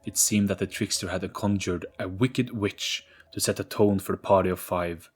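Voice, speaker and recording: A man reading a scripted, dramatic story in an ominous, measured storytelling manner. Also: low-pitched, fairly even intonation, husky, soft background music. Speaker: audio story narrator.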